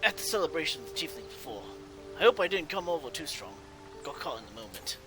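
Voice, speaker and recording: A man reading video game dialogue aloud in character as a gamer doing light role-play, with a halting, acted delivery. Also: fairly high pitch, sing-song. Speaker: gameplay video creator role-playing the player character. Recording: game music behind.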